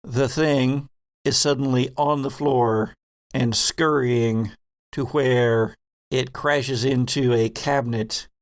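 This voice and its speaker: A man's voice: medium pitch, no echo, studio quality. A man narrating a horror tabletop roleplaying game as game master, in a descriptive storytelling manner.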